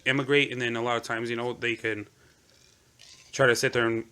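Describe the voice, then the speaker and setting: Fast bright-voiced man, rambling. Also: medium pitch, level tone, clear, resonant tone. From Seattle, United States. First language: Romanian. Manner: rambling, many fillers like "you know". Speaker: podcast guest. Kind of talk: casual podcast conversation.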